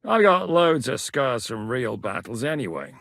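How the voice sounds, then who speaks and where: An actor playing a jovial, boastful soldier who likes a drink, in a video game. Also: male voice, mid-range voice, sing-song, moderate pace, creaky, fairly bright tone, no room sound, studio quality. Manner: easygoing storyteller.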